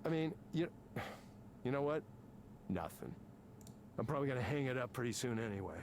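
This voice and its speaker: A man's voice: mid-range voice, animated, brisk, a little mellow, slight background noise, close to an everyday voice. An actor playing an angry, self-important tech programmer in his fifties for a video game, ranting with sarcasm and swearing. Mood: defiant, resentful. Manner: combative, sarcastic, profane ranting. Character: angry, embittered, self-important fifty-something male tech company programmer. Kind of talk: naturalistic video game voice acting.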